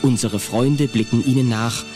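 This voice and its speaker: A man narrating a children's audio play in a suspenseful, expressive storytelling style. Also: mid-range voice, moderate pace, natural voice. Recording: music under the voice. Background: music.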